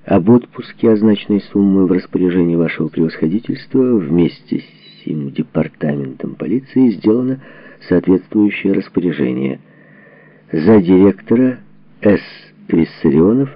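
A man reading a historical book as a narrator, in a measured, formal delivery, working through letters and documents. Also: sits low, expressive, no room sound, lo-fi sound. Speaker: audiobook narrator.

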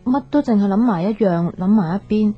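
A slightly muffled radio drama recording with soft music underneath carries an actress playing a soft-hearted young woman with a Hong Kong Cantonese accent. Her voice is husky, and she talks in a reflective, hesitant, intimate way, unsure in love. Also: mid-range voice, a little fast, natural voice. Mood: pensive.

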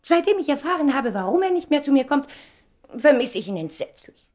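A self-important young diva in a radio drama. Her delivery is highly animated, swinging widely in pitch, and full of wounded pride and theatrical indignation. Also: a little fast. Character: vain, theatrical, wounded stage star of twenty-nine. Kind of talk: German radio play acting.

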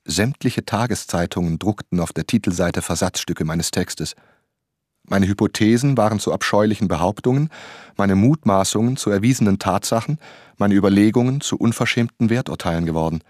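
Actor as a troubled young male novelist, low-voiced. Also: a little fast, darkish tone, no echo, clean studio sound, close to an everyday voice. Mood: grave, reflective. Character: young male novelist narrating his own crime story, troubled. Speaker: narrator and lead character. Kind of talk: dramatic radio play narration and dialogue.

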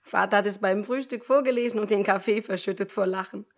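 An actress playing a mocking, exasperated, sharp-tongued young woman in a German radio play. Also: mid-range voice, quick pace, natural voice. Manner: sarcastic.

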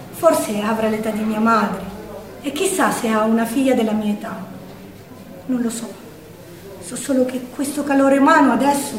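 A shaken young woman partisan in a wartime theatre monologue, heard in a roomy, echoing space a little way from the microphone. Her high voice has a Roman accent and moves between hushed and urgent, with weighted pauses. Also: slight background noise. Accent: Roman Italian. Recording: roomy echo, distant microphone.

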